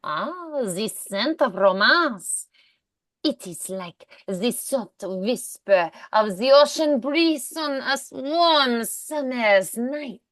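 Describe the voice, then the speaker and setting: A woman voicing an amorous, flamboyant male cartoon skunk with an exaggerated French accent, nasal vowels and drawn-out seductive phrasing. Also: a little high, lively intonation, fairly bright tone, dry recording, voice pushed up, exaggerated voice.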